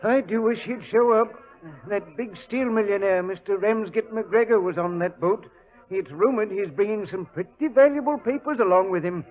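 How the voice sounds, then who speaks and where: A man playing a high-voiced earnest officer. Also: moderate pace, close to an everyday voice. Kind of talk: 1940s radio acting. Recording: dull lo-fi 1940s radio recording.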